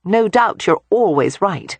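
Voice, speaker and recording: A man doing a bright eager young woman. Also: high voice, lively intonation, unhurried, gravelly, dry recording, pitched above the performer's own voice, full character voice. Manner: warm, candid and enthusiastic.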